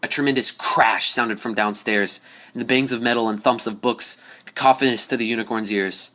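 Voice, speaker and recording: Bright-voiced man reading a dramatic story. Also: medium pitch, steady tone, normal speed. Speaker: story reader. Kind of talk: dramatic scripted narration of a horror fan story.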